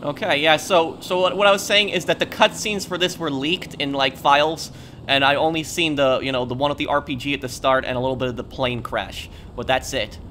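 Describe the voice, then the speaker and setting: A young man giving casual, halting gameplay commentary. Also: fairly high pitch, steady tone, pushed and bright. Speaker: gaming video host. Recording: faint game noise behind voice. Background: game ambience and vehicles.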